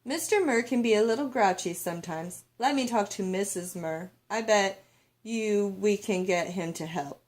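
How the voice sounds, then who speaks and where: A woman's voice: medium pitch, moderate pace, a little mellow, lightly acted. Storybook grandmother voiced by a woman reader: elderly, loving, dreamy, reminiscing in poetic phrases. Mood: matter-of-fact.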